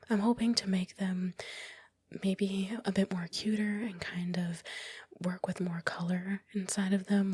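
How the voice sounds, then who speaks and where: A woman whispering softly and breathily close to the microphone, narrating casually and unhurriedly in an ASMR style with some hesitant phrasing. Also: fairly low pitch, slightly rough, no echo. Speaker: ASMR creator narrating her time-lapse. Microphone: close microphone, dry voice-over.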